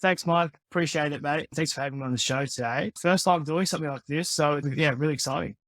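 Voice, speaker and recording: A young man, a personal trainer and osteopath answering interview questions in a relaxed, friendly way with lots of "yeah". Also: a little high, fast, dry recording, studio quality. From born in the Philippines, raised in Australia. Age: 20s. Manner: relaxed, friendly, many yeahs and restarts.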